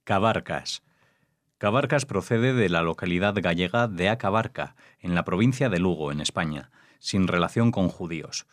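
A man's voice: deep voice, moderate pace, no room sound, clean studio sound. A man, the program's genealogist, reading prepared entries in a formal, informative manner. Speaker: genealogist collaborator. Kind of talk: scripted reading.

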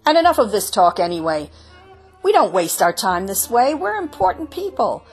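A woman's voice: medium pitch, big pitch swings, normal speed, soft background music, voice pushed up. A woman voicing self-important male householders lecturing the chickens. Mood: pompous. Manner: pompous, lecturing. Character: self-important pious village householders, men.

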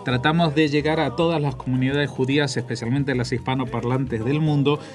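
A man's voice: medium pitch, fairly even intonation, brisk. A man hosting a radio program and interviewing a guest, conversational, with some hesitations. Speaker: radio host.

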